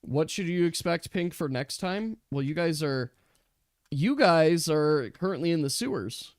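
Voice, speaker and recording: A man's voice: fairly high pitch, lively intonation, unhurried, no room sound, clean studio sound. A man speaking as a game master, chatting casually and explaining things with emphatic repetition. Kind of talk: casual chat after a tabletop game session.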